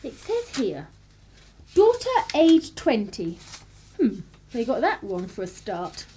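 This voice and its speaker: An actress plays a spirited young upper-class Englishwoman of the 1930s in a radio drama. Her voice sits high and moves about in lively swings. She is arch and sardonic, with clipped period phrasing. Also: slow, a little hiss or hum, exaggerated voice. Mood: indignant, sardonic. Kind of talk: period radio play acting, narration and dialogue.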